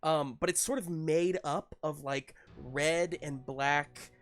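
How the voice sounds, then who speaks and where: A man improvising narration as game master of a tabletop game, piecing scenes together haltingly. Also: fairly high pitch, fairly even intonation, faint music behind. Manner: halting, searching for words in pieces.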